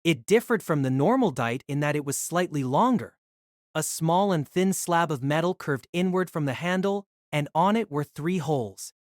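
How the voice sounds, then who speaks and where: A man narrating an audio drama in scripted storytelling style, expressive and engaged. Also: moderate pace, dry recording, clean studio sound. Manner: expressive storytelling with lively pitch.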